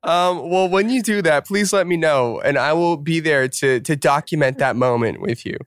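Smooth-voiced young man hosting chat. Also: a little high, moderate pace, no echo, studio quality. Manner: upbeat, filler-heavy, self-deprecating. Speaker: podcast host. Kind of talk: casual interview chat.

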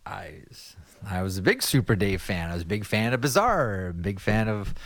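A man's voice: on the low side, big pitch swings, normal speed, no room sound. A man with a nasal voice hosting a podcast, playful and enthusiastic in casual chat. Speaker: podcast host.

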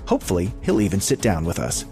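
A man's voice: deep voice, very animated, fast talker, creaky, mellow, soft background music. A man reading a scripted promo as a podcast host.

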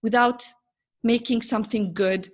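A woman's voice: on the high side, fairly even intonation, unhurried. A woman from the Middle East, a native Arabic speaker with an Arabic accent, telling personal stories in an earnest, emphatic way as a podcast host. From Saudi Arabia, Middle East. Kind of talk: personal storytelling in an interview.

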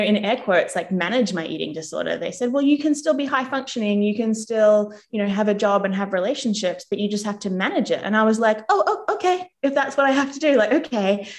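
A woman with a mixed Australian and New Zealand accent, telling her personal story as a podcast guest, earnest and animated. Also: mid-range voice. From England, raised in Australia. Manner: earnest and animated, acts out quoted people.

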